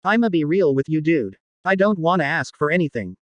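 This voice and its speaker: A man's voice: fairly high pitch, big pitch swings, a little fast, no room sound. A man playing a cautious, skeptical, worried teenage boy in a visual novel, with casual slangy speech. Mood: wary.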